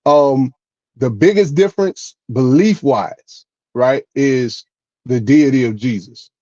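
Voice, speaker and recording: A man co-hosting a podcast who explains his views in a measured way, often checking in with "right?". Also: mid-range voice, dark tone, no echo, lo-fi sound. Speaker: podcast co-host. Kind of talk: casual podcast conversation.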